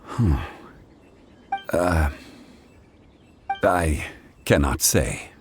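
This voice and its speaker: A man playing an ancient, dignified god in a video game, speaking in a formal, measured and grave way. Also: extremely low pitch, lively intonation, very noisy, exaggerated voice. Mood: apologetic, resolute. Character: ancient god in calm, dignified man's form, solemn. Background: game music.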